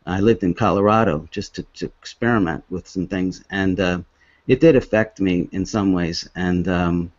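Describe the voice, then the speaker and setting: Low-voiced, halting man. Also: a little mellow, dry recording. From Hong Kong. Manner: halting, earnest, many restarts. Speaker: author giving a talk. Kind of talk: presentation with slides. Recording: dull, dry lo-fi recording.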